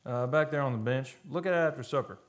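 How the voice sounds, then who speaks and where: An actor playing a rough, folksy oil roughneck telling his tale in colloquial speech, in an old-time radio drama style. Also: medium pitch, fast, close to an everyday voice. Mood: hearty, casual. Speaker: actor and narrator in radio play. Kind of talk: old-time radio drama acting, first-person storytelling. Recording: live radio studio performance with music.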